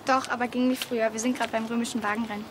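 A curt, wounded teenage girl played by a young actress in a naturalistic TV drama. Her voice is fairly high and she talks fast. Outdoor noise is clearly heard behind her. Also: level tone, close to an everyday voice. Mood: curt, hurt. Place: garden yard, outdoors. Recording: noisy outdoor ambience.

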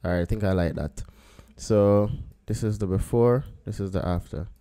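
A man, a photographer, talking through a photo edit step by step in a relaxed screen-recorded tutorial, thinking aloud as he works. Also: on the low side, moderate pace. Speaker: photographer teaching photo editing.

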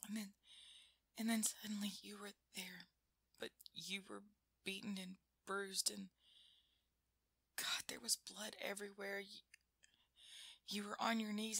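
Woman acting a breathy, whispering, vulnerable girlfriend. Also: mid-range voice, sharp tone, no room sound, full character voice. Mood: distressed, pleading. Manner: halting, stammering, intimate. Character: shaken, affectionate girlfriend woken by a nightmare. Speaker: audio roleplay performer. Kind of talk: ASMR girlfriend roleplay, whispered.

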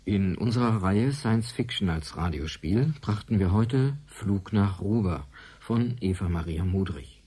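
A male broadcast announcer reads credits formally from a script. His voice is on the low side, dark and softly husky. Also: expressive, measured pace. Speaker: radio announcer reading closing credits.

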